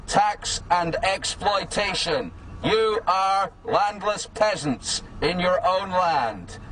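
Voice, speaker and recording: A man giving a declamatory, repetitive political street speech, haranguing through a megaphone outdoors. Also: high voice. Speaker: political campaigner. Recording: distant megaphone, loud street and vehicle noise.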